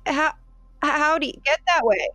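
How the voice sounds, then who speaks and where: A woman's voice: high-pitched, a little slow, sharp tone, no room sound, faint music behind. A woman voicing a frightened, curious little girl who stammers out questions in a tabletop game. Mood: anxious.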